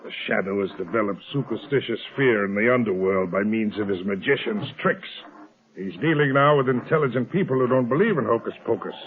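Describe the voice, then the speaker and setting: An actor playing a calm, commanding gang boss in 1930s radio acting, on a tinny old broadcast. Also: male voice, fairly low pitch, fairly quick, close to an everyday voice. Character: cool, scheming male gang boss.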